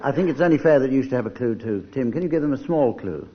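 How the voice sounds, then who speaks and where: A man chairing a radio comedy panel game for a studio audience in a dry, deadpan manner. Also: medium pitch, fast talker. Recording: dull, noisy vintage radio broadcast.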